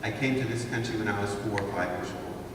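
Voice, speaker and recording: A man's voice: lowish voice, fast talker. Fifties man from Honduras, guest speaker, rhetorical lecture style, caught from far off in a big echoing hall. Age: 50s. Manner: rhetorical, asks the audience to think. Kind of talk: lecture to students. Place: large wood-paneled hall, indoors. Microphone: far camera, no mic seen. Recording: echoey hall from far off, noisy.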